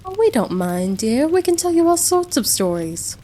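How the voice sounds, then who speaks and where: A woman plays a caring American wife and mother in a roleplay audio drama. Her voice sits a little high and moves with very lively intonation. She is gentle and reassuring toward her guest, over faint background ambience. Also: normal speed, a little hiss or hum. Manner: gentle, reassuring, uses endearments.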